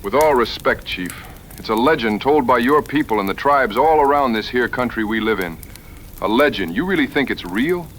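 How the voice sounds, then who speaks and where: A man's voice: medium pitch, expressive, normal speed, a bit bright, a little hiss or hum, lightly acted. A man playing a plainspoken, skeptical frontier hunter in an audio drama, earnest and folksy in his backwoods phrasing. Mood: troubled, doubtful.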